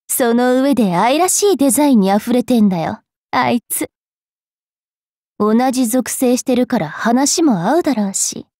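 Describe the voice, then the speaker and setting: Haughty high-voiced vampire fairy girl. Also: a little mellow, no echo, clean studio sound. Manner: brash, teasing, rough-spoken. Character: haughty, mischievous young female vampire fairy priestess. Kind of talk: anime game character voice lines.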